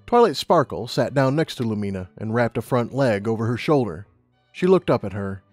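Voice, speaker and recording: A man's voice: medium pitch, big pitch swings, brisk, darkish tone, no echo, faint music behind. A man reading scripted fiction as an audiobook narrator.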